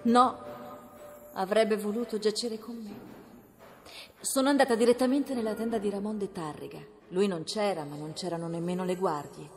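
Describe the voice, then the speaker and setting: An actress voicing a wounded, defiant medieval noblewoman in a radio drama, sharp-tongued and worn from her injuries. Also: mid-range voice, moderate pace, lightly acted. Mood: plain. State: feverish, aching from wounds.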